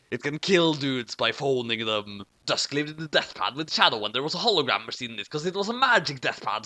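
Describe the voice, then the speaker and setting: High-pitched, theatrical man narrating a parody. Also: bright, forceful tone. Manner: mock-childish, bombastic, theatrical. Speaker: comic story narrator. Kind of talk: dramatic parody reading of a childish fan fiction.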